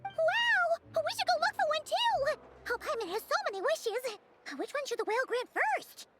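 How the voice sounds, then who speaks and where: A woman voicing a tiny, excitable childlike fairy companion in a video game, exclaiming and referring to herself in the third person. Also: very high-pitched, normal speed, loud music behind the voice. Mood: excited, curious. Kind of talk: video game character dialogue.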